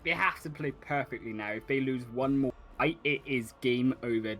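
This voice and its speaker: A man giving analytical esports color commentary, often pausing on fillers. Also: mid-range voice, a bit bright. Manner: analytical, halting with many fillers. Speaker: esports caster.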